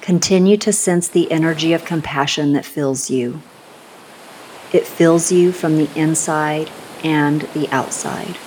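Slow, low-voiced woman guiding meditation. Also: fairly even intonation, a little hiss or hum. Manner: soothing, unhurried, gentle instruction with long pauses. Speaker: meditation guide. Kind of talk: scripted guided meditation.

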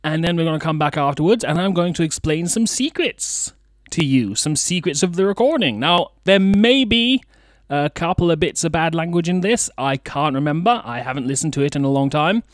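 Young male presenter, higher-pitched and very lively, chatty showman patter, dry studio sound. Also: normal speed, no room sound. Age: young adult. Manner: theatrical, playful showman delivery. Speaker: radio show host.

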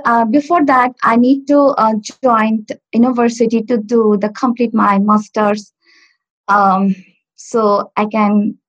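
A woman from Bangladesh whose first language is Bangla, talking in a halting way with many fillers and restarts as a learner on a video call. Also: on the high side, dull audio. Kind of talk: casual video-call interview. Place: home in Stockport.

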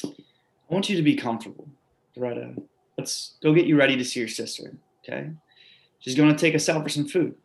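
A man playing a quiet, simple middle-aged man who is patient and reassuring in a play reading. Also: medium pitch, a little fast, gravelly, pauses often, natural voice. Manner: gentle, reassuring.

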